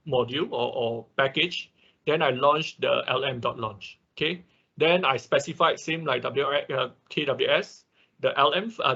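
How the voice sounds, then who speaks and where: A man who speaks English as a second language, giving a live technical tutorial step by step in a halting manner, often checking with short tag words. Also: mid-range voice, creaky, sharp tone, slightly rough. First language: not English. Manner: halting, often repeats and checks with okay or right. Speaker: workshop instructor. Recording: dull, lo-fi online class audio.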